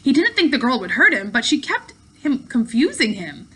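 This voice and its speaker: A woman's voice: a little high, big pitch swings, moderate pace, bright, forceful tone, breathy edge, rough recording. A woman narrating a children's story aloud expressively, with the occasional stumble and reread. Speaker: teacher reading aloud to pupils.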